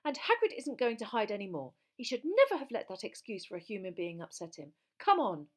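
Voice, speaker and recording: A woman voicing a fierce, hot-tempered teenage schoolgirl with a shrill, emphatic delivery in a story reading. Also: normal speed. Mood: urgent, indignant.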